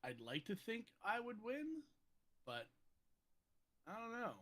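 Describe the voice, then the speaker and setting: Very bright-voiced man, slow and halting. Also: high-pitched, sing-song. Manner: thoughtful, halting. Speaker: cast member on a panel. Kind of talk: casual chat.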